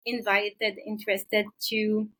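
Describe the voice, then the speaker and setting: A woman's voice: mid-range voice, level tone, fairly bright tone. Hesitant middle-aged woman from Senegal. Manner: thoughtful, many pauses and hesitations. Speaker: psychologist guest. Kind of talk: interview answers.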